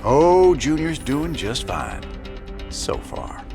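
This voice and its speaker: An actor playing a gruff, cynical rebel in a video game, with a gravelly drawl. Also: male voice, on the high side, huge pitch swings, slow, full character voice. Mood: wry, bitter. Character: gruff, cynical male rebel sneering at the news. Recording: loud music behind the voice.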